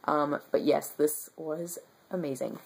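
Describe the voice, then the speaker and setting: A woman's voice: low voice, fairly even intonation, slow, creaky, slightly rough, low-quality recording. A young woman with a stuffy nose and a tired voice chats about books on her webcam, rambling with lots of ums. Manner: rambling and enthusiastic, many ums. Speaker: book vlogger. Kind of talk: casual monthly reading wrap-up. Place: bedroom with bookshelves, indoors.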